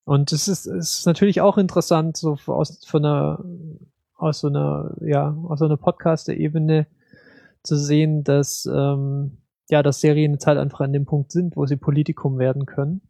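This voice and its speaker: A man co-hosting a casual podcast chat, talking haltingly with many fillers and restarts. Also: a little high, flat delivery, creaky, dark tone, dry recording. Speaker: podcast co-host.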